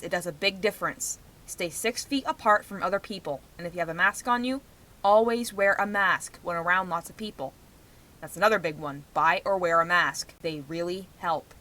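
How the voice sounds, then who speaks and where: Young woman, bright forward voice, medium pitch, emphatic, outspoken, personal vlog commentary. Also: rough recording. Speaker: vlogger.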